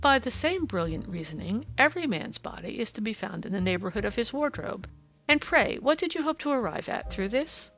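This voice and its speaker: Lady reading as clever smug gentleman detective, wordy and teasing, very lively pitch, storybook style. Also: fairly quick, faint music behind, low-quality recording. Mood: dry, teasing. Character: confident, amused, sardonic male detective, gentlemanly and clever.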